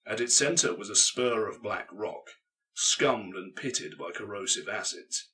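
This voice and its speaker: Forceful, low-voiced man narrating dramatically. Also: a little slow, distant mic, low-quality recording. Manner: intense, theatrical delivery. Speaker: audiobook narrator. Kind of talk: dramatic scripted narration of grimdark science fiction.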